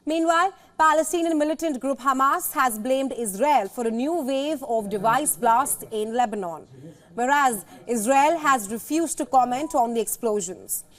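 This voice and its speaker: A woman's voice: high voice, moderate pace, a bit bright. A woman presenting the news, reading a scripted report.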